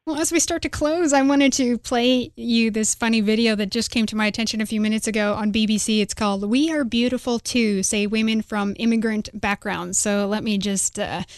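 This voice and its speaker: A woman hosting a radio talk show, speaking in a musing, drawn-out way. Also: on the high side, no room sound, clean studio sound. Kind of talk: casual political talk.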